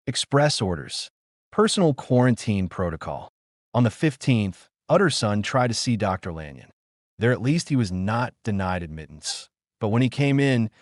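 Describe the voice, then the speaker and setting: A man narrates a noir audiobook in a low voice with widely swinging intonation. The delivery is scripted and dramatic, recorded close and dry. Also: no echo.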